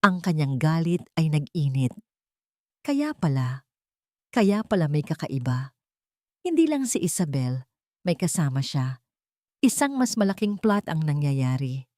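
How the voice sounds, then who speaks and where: A woman storyteller with a fairly low voice. She narrates dramatic scripted fiction, and the recording is close and dry. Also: a little mellow, no echo.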